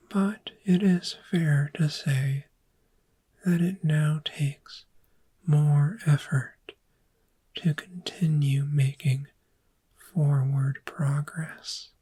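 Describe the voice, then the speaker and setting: A woman playing a calm, gentle dragon therapist in a soft-spoken ASMR roleplay, speaking in a breathy, soothing whisper with long pauses. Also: low voice, exaggerated voice. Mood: soothing, hypnotic.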